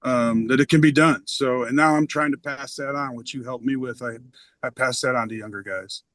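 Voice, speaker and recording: Soft-spoken earnest middle-aged man. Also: mid-range voice, fairly even intonation, normal speed, dry recording. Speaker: retired umpire and pastor. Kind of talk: reflective casual conversation.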